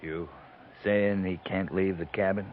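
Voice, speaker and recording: Determined injured army captain, American accent, deepish voice, halting commands, old radio western, muffled sound. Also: male voice, steady tone. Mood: firm. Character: wounded, weary, determined male army captain. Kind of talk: 1950s radio western acting. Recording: noisy, muffled 1950s radio recording.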